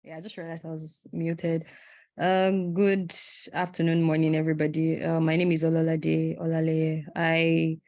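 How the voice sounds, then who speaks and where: A woman's voice: low-pitched, monotone, moderate pace, darkish tone, no echo. A woman from Nigeria, a graduate student panelist, introducing herself on a video call in a matter-of-fact way.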